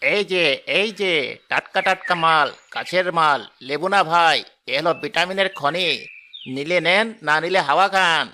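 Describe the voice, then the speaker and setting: A greedy, scornful cartoon market seller, played by a man. He talks in brash, mocking hawker's patter. His voice is bright and forceful and sits a little high, with faint noise behind it. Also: normal speed. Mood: hawking. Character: greedy, rude male market fruit seller. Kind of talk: cartoon voice acting.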